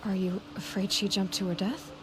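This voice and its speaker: An actress playing a calm, concerned young female tracker in a video game, with a gentle, probing manner. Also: medium pitch, moderate pace, slight background noise, natural voice. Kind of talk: video game character acting.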